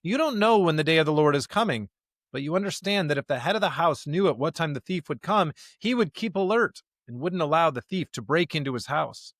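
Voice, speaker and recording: Man, somewhat high pitch, dry sound, podcast co-host, conversational scripture commentary. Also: animated, fairly quick, fairly bright tone, dry recording. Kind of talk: conversational Bible commentary with read-aloud scripture.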